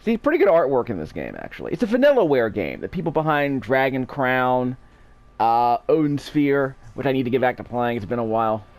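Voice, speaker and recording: Man doing animated, rambling game commentary. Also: medium pitch, brisk. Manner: rambling, digressive, with asides. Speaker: game video host. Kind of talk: casual gameplay commentary. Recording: game music behind. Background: video game music.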